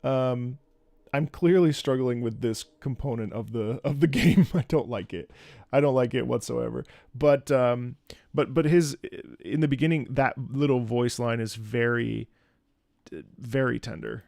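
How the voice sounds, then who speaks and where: A man giving casual, candid reaction commentary as a host, thinking aloud in a halting, reflective way. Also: medium pitch, vocal fry, darkish tone, no room sound. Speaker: host, opera singer reacting to a game.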